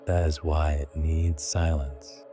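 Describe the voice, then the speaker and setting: A man narrating a scripted guided sleep meditation in a hushed, deliberate way, in short phrases. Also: extremely low pitch, little pitch movement, music in the background.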